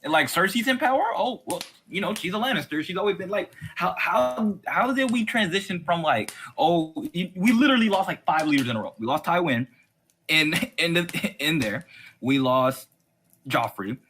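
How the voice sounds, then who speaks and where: A man with a bright, fairly high voice hosts a casual fan discussion. His pitch swings widely as he argues in an exasperated way and acts out mocking quotes. There is faint noise on the recording. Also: expressive, normal speed. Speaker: host of a fan discussion series. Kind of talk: casual opinionated chat about a TV series.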